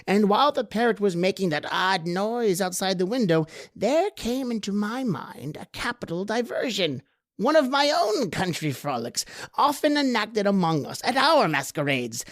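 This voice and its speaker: Dwarf jester, played by a woman: humble and tranquil with a sly undercurrent, animated pitch, radio horror drama. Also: no echo, clean studio sound. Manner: tranquil, deferential. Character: bewildered, meek male dwarf court jester, quietly scheming. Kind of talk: old-time radio horror acting.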